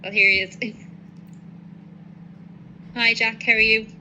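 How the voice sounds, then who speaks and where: A woman's voice: on the high side, little pitch movement, slow, pushed and bright, slight background noise, poor audio. A woman working as a legal adviser, methodically explaining procedures to a client on a professional call. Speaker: legal adviser on citizenship applications.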